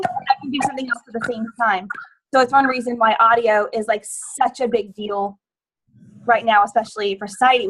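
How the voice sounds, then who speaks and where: A woman's voice: fairly high pitch, fairly bright tone, poor audio. A woman panelist talking casually on a live online panel, chatty, with lots of "like" and "um". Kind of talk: casual panel chat on a livestream.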